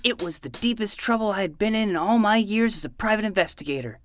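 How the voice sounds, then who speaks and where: A woman's voice: medium pitch, expressive, fast talker, faint music behind, close to an everyday voice. A woman voicing an earnest, melodramatic twelve-year-old boy who plays detective in a scripted fiction podcast. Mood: dramatic, grave.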